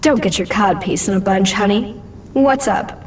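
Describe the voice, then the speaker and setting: A woman's voice: medium pitch, moderate pace, recorded from a distance, slight background noise, close to an everyday voice. An actress voicing a flirty, mocking female impostor villain in a video game, drawling and taunting. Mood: flirty, cavalier.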